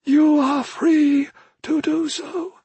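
A man voicing a solemn old ghostly mentor in an audiobook, with a thin, strained voice and slow, formal, drawn-out phrasing. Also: very high-pitched, level tone, mellow, dry recording, pitched above the performer's own voice, full character voice. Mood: urging. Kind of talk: audiobook character acting.

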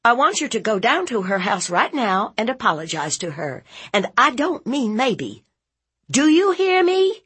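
A woman narrator acts out a fierce storybook aunt, blunt and protective. Her pitch rides high and swings widely as she interrogates and scolds with folksy force, and the voice has some husk in it. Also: very animated, unhurried, fairly bright tone, slightly rough, heavily acted. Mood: commanding.

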